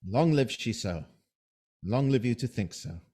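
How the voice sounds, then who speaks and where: An actor playing a scheming soldier who feigns honesty, in measured, insinuating Shakespearean verse for an audio drama. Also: male voice, mid-range voice, expressive, mellow. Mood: falsely loyal.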